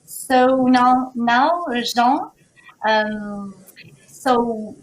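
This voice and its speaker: A woman moderating an online round table in a hesitant, halting manner. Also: fairly high pitch, poor audio.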